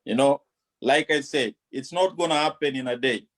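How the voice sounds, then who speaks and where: A man from Kenya, an official speaking on a talk show panel, measured and persuasive, often repeating his points. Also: mid-range voice, a bit bright. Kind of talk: earnest panel discussion.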